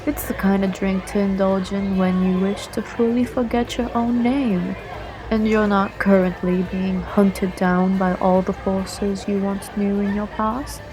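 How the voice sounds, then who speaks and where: Slow-talking roguish assassin played by a woman. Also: mid-range voice, fairly even intonation, lightly acted, low-quality recording. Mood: wry, teasing. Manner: teasing, unhurried, coaxing with old-fashioned turns of phrase. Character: roguish, flirtatious female guild assassin in a fantasy tavern. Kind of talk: ASMR fantasy roleplay. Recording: music and tavern chatter behind.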